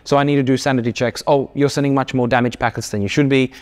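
A man, a game developer answering interview questions in a relaxed, filler-heavy way while gesturing. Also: medium pitch, fast talker. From Australia. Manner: explains with lots of fillers, restarts and hand gestures. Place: indoor office studio.

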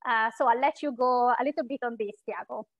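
Woman, university webinar presenter, higher pitch, hesitant phrasing, muffled video-call sound. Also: a little fast, dry recording. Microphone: video call microphone. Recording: dull, lo-fi online call audio.

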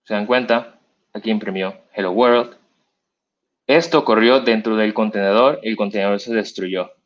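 A man's voice: fairly low pitch, steady tone, moderate pace. A young man with a Mexican accent giving a live coding demo at a meetup, explaining step by step with many fillers and restarts. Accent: Mexican Spanish. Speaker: meetup speaker. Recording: dull lo-fi stream audio.

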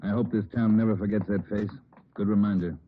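An actor playing a hardened, stern senior police officer with a gruff, emphatic delivery in an old radio drama. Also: male voice, on the low side, fairly even intonation, brisk, natural voice. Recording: noisy, tinny old radio recording.

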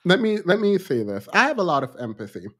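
A man's voice: sits high, very animated, quick pace, a bit bright, husky. Sassy, colloquial man hosting a livestream. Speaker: livestream host. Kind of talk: casual livestream commentary. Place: small indoor home studio. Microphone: close desk microphone.

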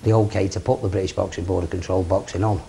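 A man's voice: sits low, fairly even intonation, fast, gravelly, dark tone, slight background noise. A middle-aged man, a boxing promoter talking plainly in an office interview. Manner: plain-spoken, rambling lists. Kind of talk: seated interview. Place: office with framed memorabilia. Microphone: lapel.

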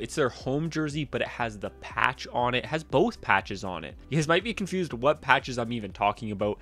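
A man's voice: mid-range voice, a bit bright. A young man in his twenties, a bit stuffed up from a cold, chatting opinionatedly about hockey jerseys into a desk mic in a small room. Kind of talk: casual vlog commentary. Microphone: desk mic on a boom arm.

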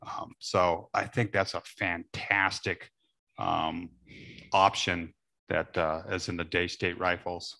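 A man's voice: low voice, flat delivery, takes it slowly, creaky, bright, forceful tone. A middle-aged man chatting casually on a panel as an airgun shooter. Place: panel table with several people at an expo.

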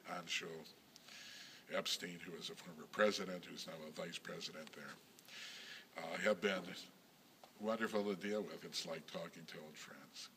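An older man, a synagogue president, talking in a measured, halting way with frequent pauses and self-corrections. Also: low voice, steady tone, a bit bright, a little hiss or hum. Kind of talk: recorded interview reminiscence.

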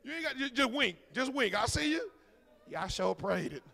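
A man, preaching a sermon as minister. He swings between loud bursts and soft asides, teasing his congregation. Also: very high voice, sing-song, moderate pace, gravelly. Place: large church sanctuary. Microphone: handheld mic. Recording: long church hall reverb.

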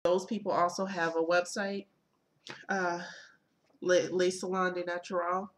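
Soft-spoken woman chatting to her webcam. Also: fairly low pitch, unhurried. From Michigan. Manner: relaxed, chatty, many ums and uhs. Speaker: vlogger. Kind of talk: casual product haul and event recap. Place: small home room, indoors. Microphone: webcam at desk.

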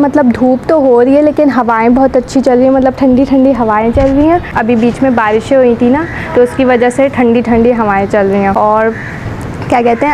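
Hoarse young woman chatting on a vlog. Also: on the high side, background noise. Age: young adult. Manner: rambling, giggly, talks to viewers directly. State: hoarse, sore throat. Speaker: vlogger. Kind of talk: casual chatty vlog narration. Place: rooftop outdoors, then home kitchen. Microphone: phone held at arm's length.

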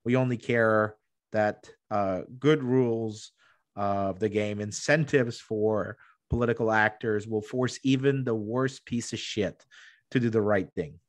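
A man's voice: fairly low pitch, steady tone, unhurried, no room sound. A man in his thirties arguing conversationally as a podcast guest, emphatic, often repeating words as he goes. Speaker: podcast guest, economic historian. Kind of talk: conversational interview argument. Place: small room at home, video call. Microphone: close desktop microphone.

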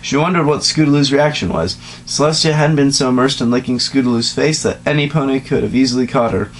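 Young man, average pitch, casual story reading with asides, stumbles, slightly noisy home recording. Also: steady tone, quick pace. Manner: stumbles over words and breaks off to comment. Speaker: reader. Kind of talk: informal dramatic reading of fan fiction with casual asides. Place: small dim room indoors, on a couch.

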